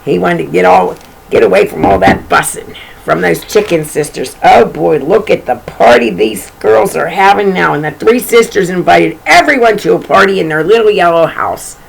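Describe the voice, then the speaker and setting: A woman's voice: fairly low pitch, very animated, normal speed, vocal fry, husky, rough recording. A woman reading a children's storybook aloud in an informal way, with chatty, folksy asides about the pictures.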